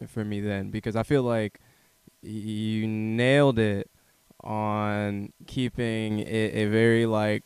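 A male interview host with a somewhat low, smooth and resonant voice, recorded dry and close. He talks in a relaxed, affirming way, sprinkling in "like" and "man". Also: slow, no echo. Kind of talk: casual interview.

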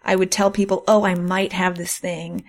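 Woman podcast host, slightly low voice, chatting earnestly and encouragingly. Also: level tone, moderate pace, vocal fry. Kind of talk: conversational podcast talk.

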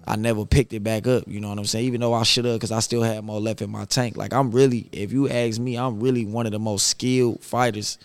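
A man's voice: fairly low pitch, clean tone, no room sound. A man, talking casually and reservedly as an interview guest, with slangy phrasing. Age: 30s. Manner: reserved, even-keeled, slangy. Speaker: podcast guest, boxer.

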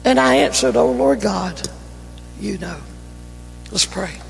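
A man with a North Carolina Southern accent, an evangelist praying and preaching a fervent sermon that builds to shouting in a church. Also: sits high, slow pace, creaky. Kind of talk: sermon with opening prayer. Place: church sanctuary. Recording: church hall reverb, faint music.

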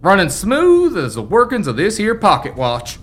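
An actor playing a folksy, forgetful old American rancher's ghost in a family radio play, with rustic old-timer phrasing. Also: male voice, high-pitched, wildly swooping pitch, normal speed. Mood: proud, reminiscing. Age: older.

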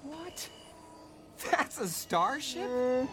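A man's voice: extremely high pitch, expressive, drawn-out words, noisy background, heavily acted. A man playing an eager, brash young hero in a radio drama, with a boyish, earnest and outspoken manner. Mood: incredulous, eager. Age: young adult. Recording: music behind the voice.

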